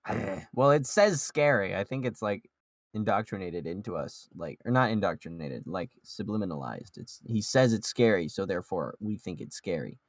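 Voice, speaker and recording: Animated man in casual podcast chat. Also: low voice, normal speed, dry recording, clean studio sound. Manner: jokey, profane. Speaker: podcast panelist. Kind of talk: casual group chat about a manga.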